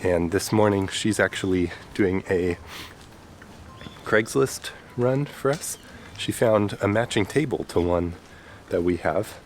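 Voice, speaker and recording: A man's voice: low voice, slow, slight background noise. A young man from the Chicago area with a stuffed-up, nasal voice from a cold, chatting casually as he walks through the city. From Chicago area, USA. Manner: relaxed, chatty, talks while walking. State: congested from a cold. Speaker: vlogger. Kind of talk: casual vlog chat. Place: outdoors on city streets. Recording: with music playing underneath.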